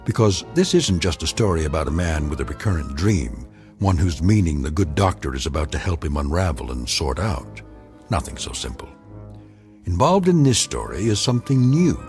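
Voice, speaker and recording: An actor playing a grave, knowing narrator of eerie tales in a radio drama, speaking in a measured, deliberate way. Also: male voice, sits low, a little mellow.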